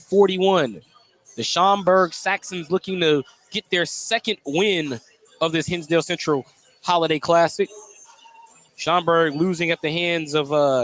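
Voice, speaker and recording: A man doing live radio play-by-play of a high school basketball game, describing the action in clipped running phrases. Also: a bit bright. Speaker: play-by-play announcer.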